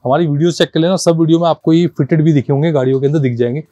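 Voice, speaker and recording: Higher-pitched man giving a sales pitch. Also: fairly even intonation, rapid-fire, warm tone, no echo, lo-fi sound. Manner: insistent, repetitive, addressing viewers as brother; mixes English numbers and terms into speech. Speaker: car accessories shop seller presenting stock. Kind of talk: informal sales pitch, product walkthrough.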